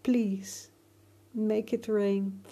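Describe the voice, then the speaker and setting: A woman voicing an anxious young boy adrift in a boat, talking loudly in a high, pleading voice. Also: slow, slightly rough. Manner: high-pitched, loud and pleading.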